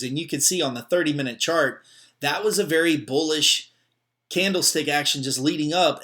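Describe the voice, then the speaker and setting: A man's voice: fairly high pitch, a bit bright. A man hosting an investing channel, talking through his commentary in a casual, explanatory way and addressing the listener directly. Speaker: host of a stock investing channel.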